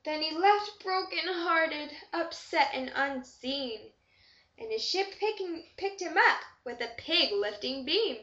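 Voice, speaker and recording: A woman's voice: high-pitched, lively intonation, slow, sharp tone. A woman reading a rhyming children's story aloud with expression. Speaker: storybook reader. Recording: dull echoey amateur room recording.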